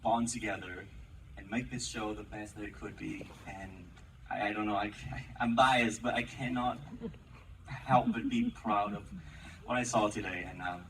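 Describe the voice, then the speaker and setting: A man's voice: on the low side, fairly even intonation, normal speed, creaky, a bit bright. A man, an actor on a stage panel, answers questions in a casual, slightly awkward and fidgety way and laughs often. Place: large theater, Q&A on stage. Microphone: audience member's camera from the seats. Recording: distant, noisy audience camera recording.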